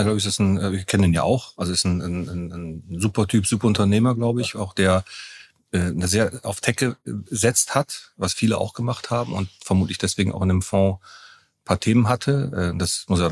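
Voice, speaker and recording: Male business interviewee, informal and rambling, rough husky tone, quick delivery. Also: deep voice, darkish tone. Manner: rambling, often restarting sentences. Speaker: company co-founder and executive, podcast guest.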